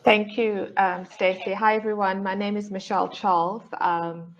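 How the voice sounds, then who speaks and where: A woman's voice: medium pitch, level tone, normal speed, lo-fi sound. A woman with a South African accent introducing herself as a panelist on a video call, upbeat and fluent.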